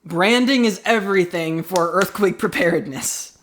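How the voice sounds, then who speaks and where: A man with a notably high voice co-hosts an improv comedy show. His delivery is casual and playful, full of loose banter between games. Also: moderate pace, a bit bright, a little hiss or hum. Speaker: podcast co-host.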